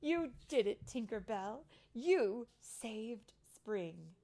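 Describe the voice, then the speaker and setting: A woman voicing a gracious, stately female fairy elder in a storybook, measured and slightly formal. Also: a little high, very animated, warm tone, heavily acted. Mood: approving.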